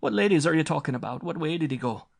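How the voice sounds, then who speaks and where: A man's voice: mid-range voice, normal speed, creaky, fairly bright tone, slightly rough, voice pushed up. A man voicing a frantic Irish widow mother. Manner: wailing, pleading, distraught.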